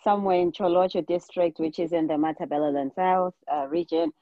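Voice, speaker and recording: A woman's voice: on the low side, steady tone, brisk, no echo. A woman in her forties with a Zimbabwean accent, chatting casually as a guest in an interview, on a slightly muffled recording. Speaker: podcast guest, nurse practitioner.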